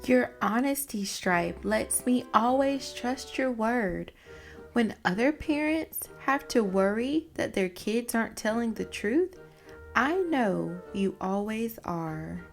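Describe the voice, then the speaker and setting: Gentle storybook zebra mama, voiced by a woman. Soft, soothing, slow delivery over music. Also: on the high side, animated. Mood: tender, reassuring. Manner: tender, soothing. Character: gentle, reassuring mother zebra. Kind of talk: storybook character voice. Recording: music behind the voice.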